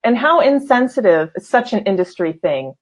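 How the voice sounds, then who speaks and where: A woman's voice: fairly high pitch, big pitch swings, fairly quick. A woman in her forties, a show host, interviewing a guest in an enthusiastic, effusive way, often restarting her sentences. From California. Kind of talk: casual interview conversation.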